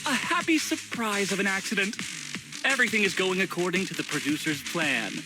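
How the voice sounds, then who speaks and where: Smooth-talking, showy movie director for a game; high animated delivery, brisk, music loud behind. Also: male voice. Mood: breezy, reassuring. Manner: theatrical, brisk. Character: excitable, smooth-talking male film director. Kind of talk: video game voice acting.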